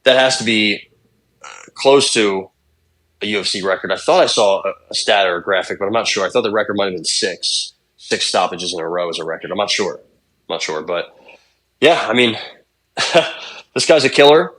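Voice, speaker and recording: A young man with a somewhat low voice co-hosts a casual sports podcast. He rambles in a relaxed way, thinking out loud, and swears casually as he talks. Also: normal speed, pauses often. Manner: laid-back, rambling, profane. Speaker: podcast co-host.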